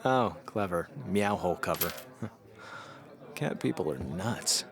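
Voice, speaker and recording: A man's voice: low voice, lively intonation, slow, crowd noise, heavily acted. An actor playing a put-upon, sarcastic man in a comedic audio drama, grumbling with dry reluctance. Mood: reluctant, exasperated.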